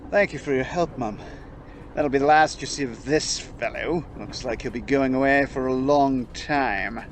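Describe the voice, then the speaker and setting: An actor playing a dry, dogged police detective in an audio play, talking in a gruff, casual, sardonic way. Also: male voice, mid-range voice. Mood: firm, official. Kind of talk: amateur audio play acting. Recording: faintly noisy home-device recording.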